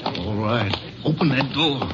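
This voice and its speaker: An actor playing a tough, sarcastic armed robber in a 1950s radio crime drama. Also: male voice, medium pitch, animated, measured pace, close to an everyday voice. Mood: threatening, sarcastic. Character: sneering armed stick-up man, tough and sarcastic. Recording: muffled, noisy old radio broadcast.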